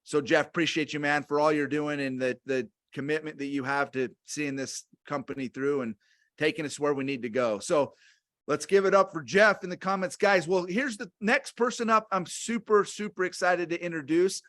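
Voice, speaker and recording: A man's voice: on the high side, normal speed, a bit bright, no echo, studio quality. A man hosting an online company call, thanking one speaker and introducing the next in an upbeat, effusive way.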